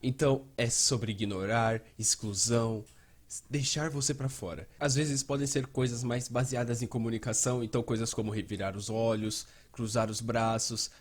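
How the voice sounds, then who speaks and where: A Brazilian man with a husky, slightly rough voice at a medium pitch. He narrates a dubbed explainer in a conversational style, laying points out like a presenter. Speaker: host and fan dubber.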